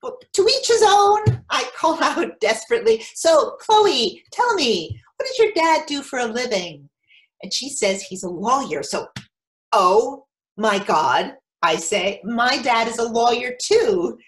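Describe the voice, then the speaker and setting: A woman playing an anxious, overeager mother of a teenager in an animated theatrical monologue, breaking into quoted dialogue. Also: on the high side, measured pace. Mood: desperately cheerful. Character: anxious, overeager mother of a teenage son, telling her story. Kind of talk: theatrical monologue, staged reading.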